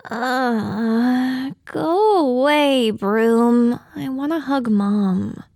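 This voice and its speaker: A woman playing a timid, easily flustered young pony filly in an audio drama, with a halting manner. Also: a little high, gravelly, darkish tone, no room sound, clean studio sound, heavily acted. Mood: groggy, whiny.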